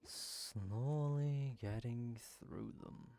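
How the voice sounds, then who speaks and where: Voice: medium pitch, drawn-out words, warm tone, faint music behind. A video game streamer giving casual, rambling commentary while thinking aloud during play. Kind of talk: casual gameplay livestream commentary.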